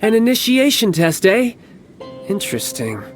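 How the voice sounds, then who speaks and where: An actor playing a suave, cocky young warrior in a video game, theatrical and mock-polite with a smug manner. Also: male voice, sits high, big pitch swings, normal speed, faint music behind. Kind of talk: video game character acting.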